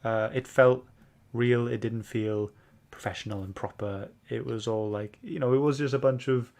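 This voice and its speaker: Male podcast host, medium pitch, casual and hesitant, feeling his way through his opinions. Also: steady tone, moderate pace, darkish tone. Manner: hesitant, searching for words. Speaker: podcast book club host. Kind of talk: casual comic book discussion.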